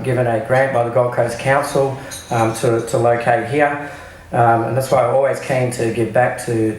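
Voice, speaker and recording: A middle-aged man, hoarse from travel, giving a halting business talk into a microphone with many ums and restarts. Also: mid-range voice, slight background noise. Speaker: startup CEO giving a talk. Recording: very echoey room, faint clatter of dishes.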